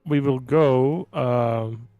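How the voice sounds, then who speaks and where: A man's voice: mid-range voice, extremely slow, clean tone, dry recording, narrow, tinny audio, faint music behind. Laid-back man at a tabletop game, dry mic. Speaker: player in a tabletop roleplaying game. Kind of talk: casual roleplay and table talk.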